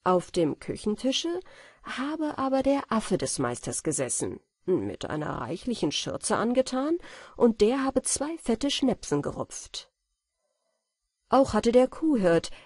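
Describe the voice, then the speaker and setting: A woman with speech reads a fairy tale as an audiobook narrator, in a soft, measured and expressive storytelling manner. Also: mid-range voice, husky, no echo, studio quality. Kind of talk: scripted fairy tale narration for bedtime listening.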